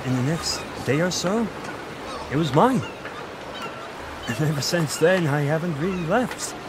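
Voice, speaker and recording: A man's voice: on the high side, animated, a little slow. A man playing a chatty Irish innkeeper, ambient noise behind. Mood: surprised, earnest. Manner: chatty, informal, confiding. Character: friendly, flirty male Irish innkeeper in a fantasy village. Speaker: voice actor in audio roleplay. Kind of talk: conversational romantic audio roleplay acting. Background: village ambience with distant chickens.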